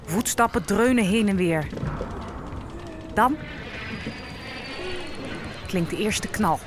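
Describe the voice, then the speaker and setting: Actress playing a enslaved captive woman, mid-pitched, first-person audio drama storytelling, clear ship ambience. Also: slow, background noise, close to an everyday voice. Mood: tense, sombre. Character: captive enslaved young African woman recounting her ordeal.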